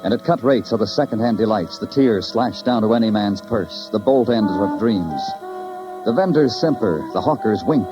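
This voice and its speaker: An actor playing a moody, world-weary New York detective, narrating a hard-boiled radio drama in clipped, poetic, brooding phrases. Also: male voice, on the low side, expressive, moderate pace, lightly acted. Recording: dull old radio recording, loud music. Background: music.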